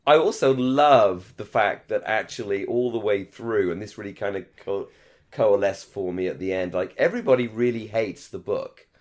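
A man's voice: lowish voice, normal speed. A man co-hosting a podcast in casual discussion, rambling and repeating phrases for emphasis. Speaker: podcast co-host.

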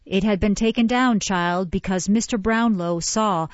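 A woman voicing a kindly old housekeeper, gentle and soothing. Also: medium pitch, unhurried, dry recording, lo-fi sound, close to an everyday voice.

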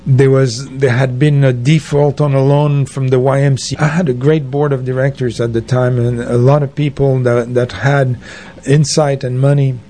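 An older man telling anecdotes as an interview guest, in a halting, conversational way. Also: medium pitch, flat delivery, mellow. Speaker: interview guest, arts organizer. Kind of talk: conversational reminiscing.

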